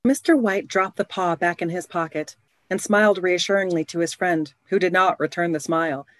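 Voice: medium pitch, normal speed, fairly bright tone, no echo. A narrator reading scripted storytelling for a radio play.

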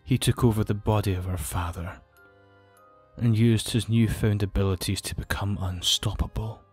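Grave, injured nobleman for audio drama, played by a man; low-pitched, formal, solemn, faint music underneath. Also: steady tone, normal speed, breathy edge. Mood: solemn, regretful.

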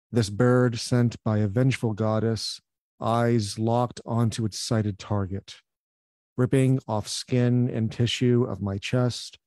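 A man at an open mic reading his poems aloud in a measured, slow manner over a video call. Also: medium pitch, mellow, no echo.

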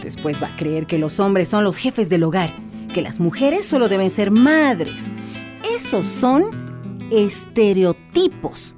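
An actress playing a feisty older female vigilante, muttering to herself deliberately. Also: medium pitch, very animated, over music. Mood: indignant.

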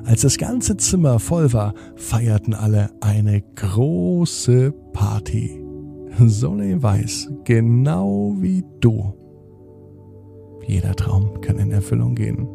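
A man reading a children's bedtime story in a calm, soothing way. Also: mid-range voice, vocal fry, music in the background. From Germany. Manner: calm, soothing, slowing to a hush at the end.

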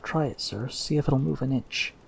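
A man's voice: mid-range voice, moderate pace, gravelly, slight background noise, pitched above the performer's own voice, natural voice. A man voicing a nervous, servile male ship's steward who talks in a common dialect, drops his h's and calls everyone sir. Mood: pleading.

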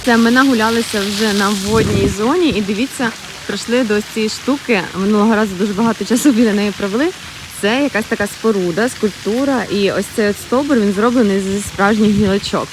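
A woman's voice: medium pitch, fairly quick, background noise. A woman narrating a casual family vlog as she walks through an outdoor park, talking to her camera. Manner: chatty, tour-guide narration to camera. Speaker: vlogger, mother.

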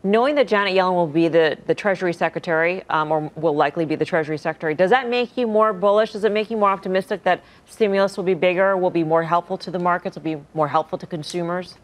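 A woman's voice: lowish voice, fast talker, few pauses. A woman anchoring a television news broadcast, putting interview questions to a guest in a studio.

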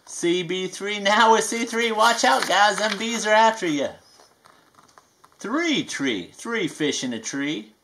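Someone voicing a folksy Southern alligator storyteller, bright voice. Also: mid-range voice, lively intonation, a little hiss or hum. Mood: folksy, playful. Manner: folksy, playful, drawn-out emphatic delivery. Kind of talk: children's picture book read-aloud.

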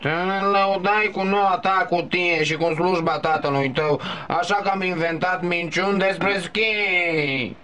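A man's voice: high voice, sharp tone. A man voicing a cocky, wisecracking boy who also narrates the cartoon, dubbed in Romanian. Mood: glum. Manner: sarcastic, quick retorts.

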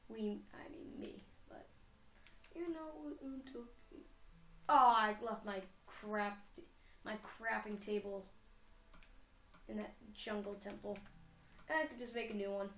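A woman's voice: medium pitch, slow, pauses often, fairly bright tone, roomy sound, slight background noise. A young woman casually commenting on her own game, rambling and giggling as she goes. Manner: chatty, rambling, giggly self-talk. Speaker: gamer. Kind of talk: casual let's play commentary.

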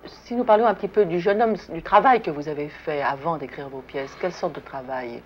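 A woman's voice: low-pitched, brisk. A woman interviewer asking questions in a polite, formal yet conversational style. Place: furnished sitting-room set indoors. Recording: muffled, noisy old archival broadcast.

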